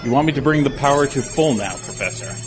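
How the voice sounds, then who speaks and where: An actor playing a deferential, quietly sinister henchman assistant in an audio drama. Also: mid-range voice, expressive, quick pace, close to an everyday voice. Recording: loud music bed under the voice.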